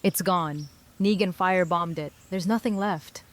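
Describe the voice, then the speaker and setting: Woman acting battle-worn female survivor, slightly low pitch, blunt, natural delivery, audio drama. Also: a little slow, lightly acted. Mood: grim.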